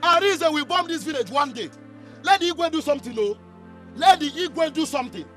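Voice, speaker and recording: An actor with a Nigerian accent playing an excitable, loyal younger sibling in a film drama, insistently repeating phrases over music. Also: male voice, very high-pitched, a little fast, full character voice. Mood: indignant.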